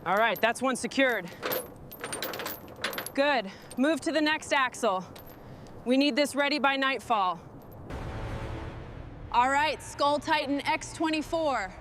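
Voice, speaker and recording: Female actor as truck restorer, higher voice, gritty and determined, short hands-on remarks over loud vehicle noise. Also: animated, slow, long pauses. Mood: focused. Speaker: mechanic. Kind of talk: scripted work chatter. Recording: noisy, with vehicle and machinery sounds.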